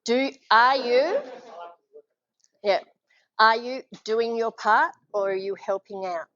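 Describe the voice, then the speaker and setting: Lively Australian woman coaching a room. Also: a little high, slow pace, dull audio. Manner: energetic, encouraging, asks the room questions. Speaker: leadership speaker. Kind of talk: live presentation to a small audience. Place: function room with an audience.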